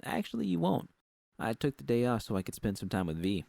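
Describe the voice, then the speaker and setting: A man's voice: medium pitch, huge pitch swings, a little fast, gravelly, darkish tone, no echo, studio quality, voice pushed up, full character voice. A man voicing a sarcastic, hot-tempered woman technician who swears and quips in an audiobook story. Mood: wry. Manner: profane, sardonic quips.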